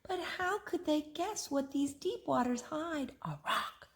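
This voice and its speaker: A woman reading a rhyming picture book aloud to children, expressively, pausing for a child to join in. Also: high voice. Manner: expressive, prompting a child to fill in rhymes. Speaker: story reader and co-host.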